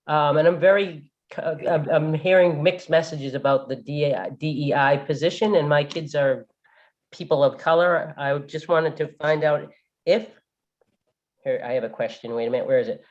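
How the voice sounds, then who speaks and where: A woman's voice: sits low, moderate pace, darkish tone. An older grandmother calling into a meeting, flustered and apologetic as she rambles through her questions. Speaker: caller at a public meeting. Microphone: video call without camera. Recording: dull lo-fi call audio.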